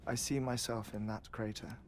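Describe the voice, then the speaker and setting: An actor playing a courteous, obsessive middle-aged scientist in grief, articulate and wry, in a video game cutscene. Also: male voice, mid-range voice, level tone, moderate pace, slight background noise, natural voice. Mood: wistful, intense.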